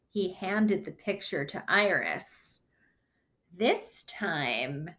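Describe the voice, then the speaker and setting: A woman reads a children's picture book aloud at a very unhurried pace. Her pitch rises and falls in a lively way, and her delivery is deliberate and explanatory. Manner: deliberate, explains characters' feelings to listeners. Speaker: story reader.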